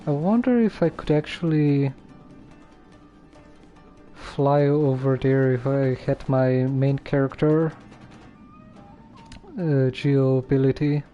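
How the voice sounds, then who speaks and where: A man giving casual live gameplay commentary, halting and muttering to himself as he plays. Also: mid-range voice, mellow, dull audio. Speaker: game streamer. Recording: faint game music underneath.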